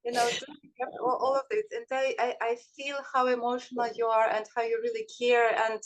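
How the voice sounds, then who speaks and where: Effusive woman interviewer, slightly high voice. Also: level tone, normal speed, low-quality recording. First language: Ukrainian. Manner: effusive, emotional, repeats words. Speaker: interviewer, channel host. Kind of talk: interview questions.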